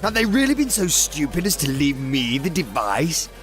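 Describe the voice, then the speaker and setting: A man's voice: fairly high pitch, big pitch swings, normal speed, a bit bright, faint music behind. A man playing a petulant, pompous megalomaniac villain in a sci-fi audio drama, theatrical and blustering. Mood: gloating, imperious. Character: petulant megalomaniac villain, ranting and mother-fearing.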